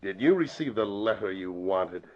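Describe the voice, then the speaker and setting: An actor playing a menacing, vengeful crook, sneering and confrontational in 1940s radio style. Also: male voice, lowish voice, expressive, normal speed, slight background noise, close to an everyday voice. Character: menacing, accusing crook bent on revenge. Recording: dull lo-fi vintage radio broadcast.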